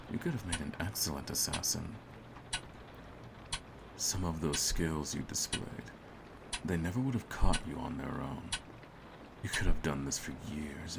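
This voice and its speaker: Man acting a very deep, gravelly, menacing hitman. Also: very noisy. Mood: cold, composed menace. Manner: theatrical, menacing, deliberate phrasing. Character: cold, smug male hitman holding his target at gunpoint. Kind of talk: scripted ASMR audio roleplay.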